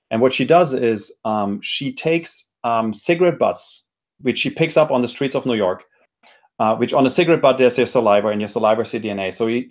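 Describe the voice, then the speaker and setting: Slightly muffled man, reflective and halting. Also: medium pitch, darkish tone. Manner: reflective, hesitant, frequent self-restarts and hedging. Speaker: interview guest, technology educator and speaker. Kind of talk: thoughtful conversational interview.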